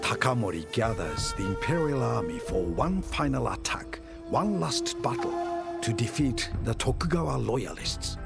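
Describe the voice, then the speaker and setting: A man acting as the solemn narrator of a historical strategy game, telling a war chronicle in a measured, grave, dramatic way. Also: mid-range voice, wildly swooping pitch, vocal fry, exaggerated voice. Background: prominent music.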